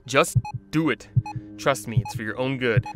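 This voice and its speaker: An actor playing an earnest, defiant scientist in an audio drama, speaking with sardonic intensity. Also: male voice, medium pitch, moderate pace, over loud music, close to an everyday voice. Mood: urgent. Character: earnest, defiant male scientist, bitter at corporate greed. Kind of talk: dramatic radio play acting.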